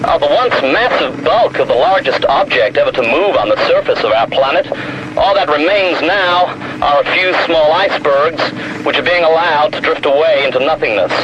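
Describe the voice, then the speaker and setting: An actor playing an earnest news reporter in a radio drama, giving urgent, deliberate live commentary. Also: male voice, a little high, lively intonation, close to an everyday voice. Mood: solemn, triumphant. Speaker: broadcast reporter. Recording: dull radio sound over loud vehicle noise.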